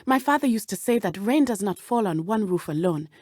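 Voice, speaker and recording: A woman voicing a brave, earnest teenage witch schoolgirl in a video game, speaking in a formal, measured way. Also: mid-range voice, animated, a little mellow, no room sound, clean studio sound, close to an everyday voice. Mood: serious, determined. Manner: formal, measured, avoids contractions. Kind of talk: scripted game companion dialogue.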